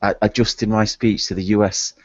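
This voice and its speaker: A man taking part as a guest in a casual online discussion, talking in a halting, stammering, musing way. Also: on the low side, flat delivery, dry recording. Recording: dry, dull lo-fi call audio.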